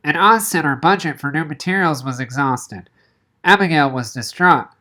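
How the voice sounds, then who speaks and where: A woman's voice: low-pitched, moderate pace, sharp tone. A woman reading a children's novel aloud as narration. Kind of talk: audiobook-style read-aloud narration.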